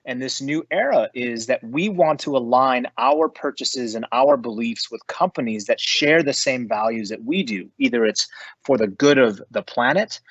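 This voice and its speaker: A man's voice: medium pitch, moderate pace, a bit bright, breathy edge, dry recording, lo-fi sound. A man giving earnest, emphatic advice as an investor on a panel over an online call. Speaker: investor and business adviser on a panel.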